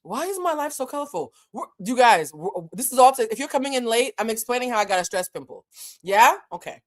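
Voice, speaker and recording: A woman whose first language is French tells a casual story to her viewers. She is animated and profane, and she talks straight to her audience. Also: a little high, fairly quick. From Boston. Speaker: channel host.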